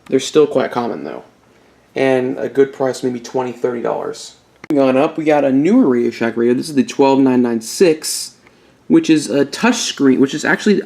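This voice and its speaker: A man's voice: medium pitch, creaky, darkish tone. A man hosting an informal collection showcase, explaining items casually and giving buying tips. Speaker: YouTube collector and host.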